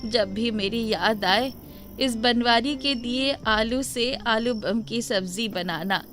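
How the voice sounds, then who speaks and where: A woman's voice: a little high, slow, a bit bright. Woman playing a kindly elderly grandmother spirit, affectionate, reassuring, storybook cartoon, background music. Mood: gentle, wistful. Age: older. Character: kindly old grandmother, later a gentle ghost. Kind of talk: Hindi animated moral story acting.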